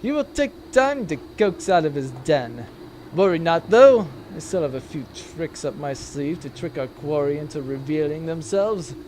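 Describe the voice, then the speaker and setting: Man playing a pompous, lively high-pitched space major. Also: normal speed, slight background noise, close to an everyday voice, poor audio. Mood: smug, scheming. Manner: pompous, theatrical. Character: pompous, boastful military tracker, a major hunting fugitives. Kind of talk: comic sci-fi audio drama.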